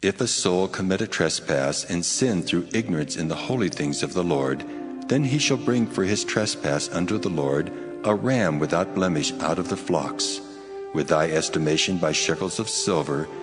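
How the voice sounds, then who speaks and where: Man voicing God, deep with vast reverb. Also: animated, normal speed. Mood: solemn, commanding. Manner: solemn, declamatory. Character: the Lord God, solemn commanding male divine voice. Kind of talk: dramatized scripture reading. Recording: long cavernous reverb, music behind.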